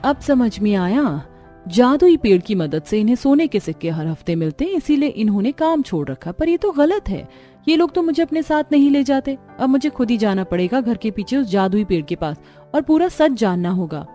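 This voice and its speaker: A woman's voice: fairly high pitch, very animated, normal speed, dark tone, soft background music. A woman voicing an earnest, worried young daughter-in-law in a cartoon family story. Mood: suspicious, resolved. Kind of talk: cartoon voice acting.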